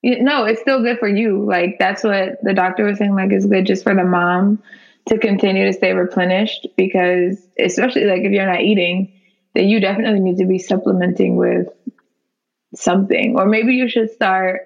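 A woman with a raspy voice in a casual chat, giving friendly advice and laughing often. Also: mid-range voice, steady tone, normal speed, muffled recording.

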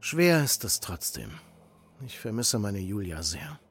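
An actor playing a gentle, middle-aged widowed father who patiently explains faith to children in a children's audio drama. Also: lowish voice, big pitch swings, measured pace, slightly rough, heavily acted. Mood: tender, wistful. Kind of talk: German children's radio play acting.

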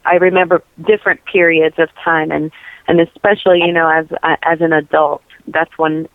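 A woman's voice: low-pitched, flat delivery, normal speed, dry recording. A woman from New York and New Jersey tells her story as an interview guest. She talks earnestly and wanders through her thoughts, leaning on "you know" fillers. Her voice comes through a tinny, phone-like line with no room sound. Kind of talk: casual testimony interview.

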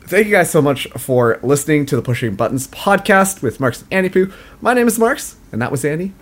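A man in his late twenties hosts a casual podcast. His pitch swoops up and down as he talks, and he laughs often and pokes fun at himself. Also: fairly quick. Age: late 20s. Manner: laughs often, animated and self-mocking. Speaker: podcast co-host.

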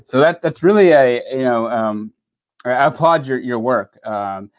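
A man's voice: medium pitch, expressive, unhurried, highs cut off. A man hosting a casual podcast interview, talking loosely with many restarts. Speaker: podcast host. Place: at home in Southern California.

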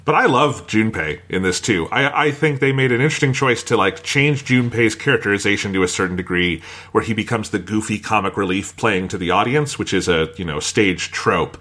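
A man's voice: on the low side, a little fast, a bit bright. A man hosting a casual critical discussion, giving firm opinions and steering the talk along. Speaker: podcast host and reviewer.

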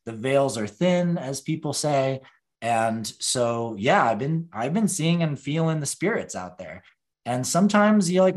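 Guy rambling about spirits and sacred space, mid voice, lots of you know and like. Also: mid-range voice. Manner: loose, rambling, many fillers. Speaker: panel guest. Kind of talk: spiritual discussion.

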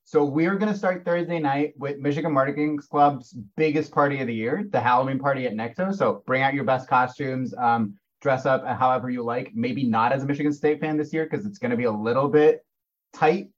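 A man's voice: medium pitch, brisk, highs cut off. Upbeat young male podcast host. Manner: upbeat, enthusiastic announcements. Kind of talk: casual student podcast hosting.

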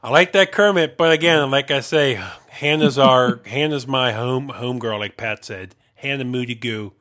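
A man's voice: mid-range voice, moderate pace, bright, forceful tone. Playful man riffing in casual chat. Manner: playful, riffs on the names with made-up stories. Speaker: podcast co-host.

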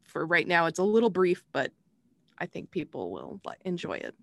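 Lowish-voiced woman on a podcast panel. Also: sing-song, normal speed, creaky, no room sound, studio quality. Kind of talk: casual opinionated discussion.

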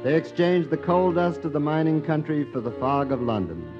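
A man narrating and announcing a scripted radio drama in a formal, measured, 1940s storytelling style. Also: a little high. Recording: dull old radio recording with music. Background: music.

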